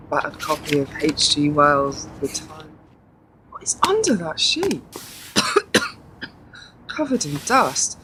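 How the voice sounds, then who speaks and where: A woman's voice: lowish voice, noisy background. A woman playing a sardonic, bored teenage girl in a radio play, dismissive and sceptical in manner. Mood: scornful, grumbling. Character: sarcastic, sceptical, bored teenage granddaughter about to leave for university.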